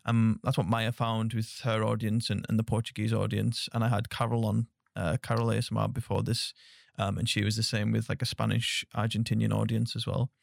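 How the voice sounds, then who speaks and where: A man's voice: lowish voice, completely flat delivery, a little fast, vocal fry, no room sound, studio quality. A young man hosting a podcast, chatting in a friendly, filler-heavy way. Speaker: podcast host. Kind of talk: casual interview chat.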